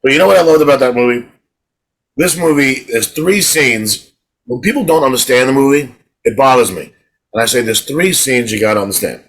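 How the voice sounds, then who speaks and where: A man's voice: medium pitch, lively intonation, fairly quick, a bit bright, rough recording. A middle-aged man co-hosting a podcast in casual chat, telling rambling stories and restarting his words. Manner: rambling storyteller, repeats words when starting. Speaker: podcast co-host.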